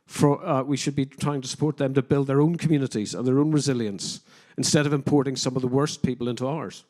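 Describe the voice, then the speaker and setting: A man's voice: medium pitch, steady tone, quick pace. Northern Irish man, blunt panel speaker. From Northern Ireland. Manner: forceful, opinionated, frequent fillers and restarts. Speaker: panelist, criminologist. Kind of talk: conference panel discussion.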